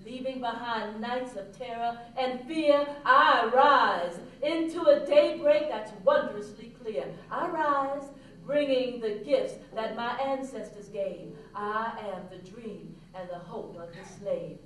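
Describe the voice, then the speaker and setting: A woman's voice: fairly high pitch, slow pace, few pauses, fairly bright tone. A woman reciting a poem on stage in a large hall. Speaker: reader in a staged dramatic reading. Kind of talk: poetry recitation. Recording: very echoey hall, distant, faint noise.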